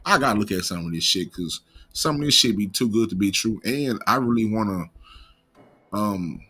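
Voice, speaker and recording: A man's voice: fairly low pitch, lively intonation, normal speed, pushed and bright, breathy edge. An American man with a slight stutter, talking off the cuff as an online commentary host in slangy, profane, casual language. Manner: imperfect pronunciation of some words.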